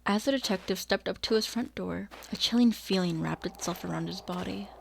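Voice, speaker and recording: A woman's voice: medium pitch, fairly quick, creaky, slight background noise. A woman narrating a radio drama in a scripted storytelling style.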